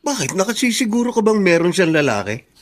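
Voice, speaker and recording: A man's voice: high voice, very animated, brisk. A man playing a frank, reasonable, questioning friend in a radio drama. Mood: probing. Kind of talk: Tagalog radio drama acting.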